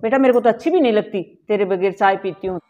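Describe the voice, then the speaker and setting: A woman's voice: medium pitch, quick pace, a little mellow, slight background noise, natural voice. A woman playing a domineering, partial mother-in-law in a family skit, scolding sharply and turning remorseful. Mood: doting. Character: domineering middle-aged mother-in-law, partial to one daughter-in-law. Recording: dull lo-fi recording.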